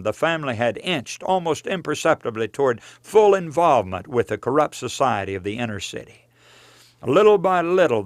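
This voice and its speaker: A man's voice: mid-range voice, no room sound. A man preaching from a written text in an earnest, admonishing, emphatic way, as in a sermon read aloud with rhetorical questions. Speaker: preacher reading own religious book.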